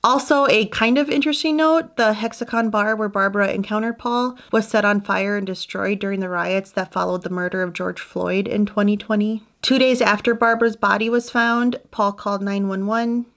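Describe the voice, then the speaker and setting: A woman's voice: medium pitch, steady tone, moderate pace, hardly any pauses, a bit bright. A woman hosting a true crime channel, narrating in a casual, conversational way with personal asides.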